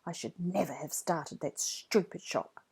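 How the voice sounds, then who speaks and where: A woman voicing an earnest young boy shopkeeper in a children's storybook, with expressive dialogue. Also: on the low side, measured pace, gravelly, breathy edge, full character voice. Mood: cross.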